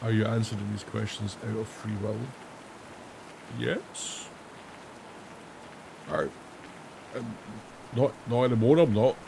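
A man in his thirties, hoarse and raspy after days of singing, hosting a casual gaming video where he reads questions aloud and answers them with jokes. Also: lowish voice, takes it slowly, long pauses, background noise. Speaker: gaming channel host. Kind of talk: casual let's-play commentary. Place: home room, indoors. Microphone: headset.

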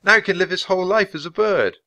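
A young boy hero from a storybook, voiced by a man, earnest and insistent. His voice is lifted high and forceful. He comes across as anxious but brave. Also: fast, no room sound. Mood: relieved.

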